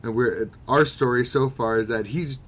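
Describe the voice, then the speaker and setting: A man's voice: mid-range voice, moderate pace. A man chatting casually as a podcast co-host, with a wry, sarcastic, profane way of talking. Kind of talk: casual banter about manga. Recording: noticeable background noise.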